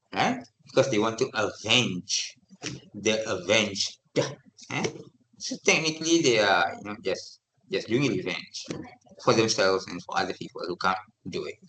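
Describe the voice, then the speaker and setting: A middle-aged man with a Malaysian English accent lecturing to a university class, lively and often checking for replies from students. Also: on the low side, a little slow, slight background noise, low-quality recording.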